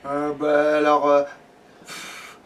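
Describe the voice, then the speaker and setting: A man's voice: a little high, completely flat delivery, slow pace, a bit bright. A man giving casual gaming commentary while he plays, swearing freely and often restarting his sentences. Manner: crude, swears freely, rambling and self-interrupting. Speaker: let's play gamer at the controls. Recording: distant mic with faint background noise.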